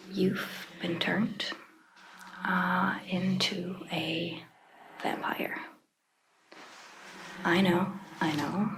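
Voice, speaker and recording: A woman speaking in a breathy, gentle ASMR roleplay style as a caring, reassuring vampire doctor, with hesitant pauses. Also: lowish voice, a little hiss or hum, poor audio. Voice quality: breathy, whispery. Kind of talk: soft-spoken ASMR roleplay.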